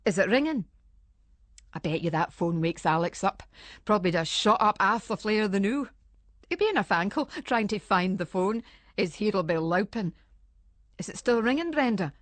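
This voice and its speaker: A woman's voice: medium pitch, animated, moderate pace, no room sound, close to an everyday voice. An anxious Scottish housewife, played by a woman, chatters away in broad, homely dialect. She rambles nervously in the manner of a radio play monologue. The sound is dry and close, with no room around her. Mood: restless, fretting.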